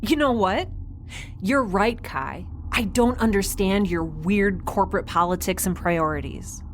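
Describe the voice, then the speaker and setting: A woman's voice: medium pitch, unhurried, slight background noise, lightly acted. A woman playing a defiant, sarcastic young space station resident in an audio drama, with a flippant, casual delivery. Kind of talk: scripted audio drama acting.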